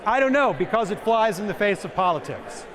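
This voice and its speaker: Man, booming voice, high pitch, joking presenter, conference talk. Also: animated, a little fast, noisy background. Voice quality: big booming voice. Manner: joking, self-deprecating, repeats questions aloud. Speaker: conference speaker.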